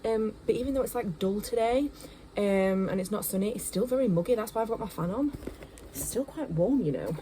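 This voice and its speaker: A woman's voice: medium pitch, animated, fairly quick, warm tone, a little hiss or hum, poor audio. A young woman with a Yorkshire accent, chatting casually to her phone camera at home, rambling with lots of fillers and poking fun at herself. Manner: rambling, filler-heavy, self-deprecating. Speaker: vlogger. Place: home, kitchen then bedroom.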